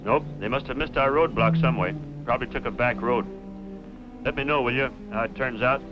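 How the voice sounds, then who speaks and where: A man acts out a dutiful, plain-spoken male sheriff in a vintage radio western. His pitch is average. The old recording sounds dull, with music clearly present. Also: fairly quick, lightly acted. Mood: businesslike. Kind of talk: old-time western radio drama acting.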